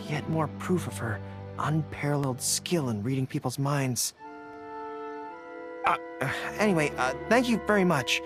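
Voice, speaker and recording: Young male general, earnest, dutiful, easily flustered, lively swooping intonation, anime game dialogue, music underneath. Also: on the high side. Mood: curious, flustered. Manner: earnest, thinks aloud, formal military phrasing. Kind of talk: English anime game voice acting.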